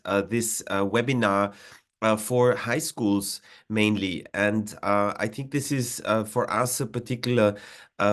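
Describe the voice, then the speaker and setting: A man with an Austrian accent, a diplomat presenting over a video call, with frequent "uh" fillers and hesitations. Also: fairly low pitch. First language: German. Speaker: diplomat giving a webinar talk.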